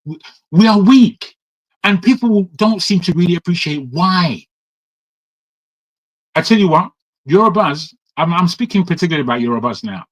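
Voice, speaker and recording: Emphatic man, fairly high voice. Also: animated, moderate pace, pauses often, poor audio. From Nigeria. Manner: emphatic, repeats words, frequent restarts. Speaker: panel commentator. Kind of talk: opinionated talk-show commentary.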